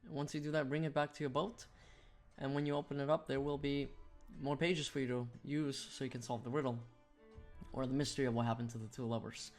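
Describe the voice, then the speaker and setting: A man's voice: mid-range voice, level tone, a little fast. A man giving a casual, step-by-step video game walkthrough as a guide host. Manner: explanatory, step-by-step. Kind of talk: walkthrough commentary, casual instructional. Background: music.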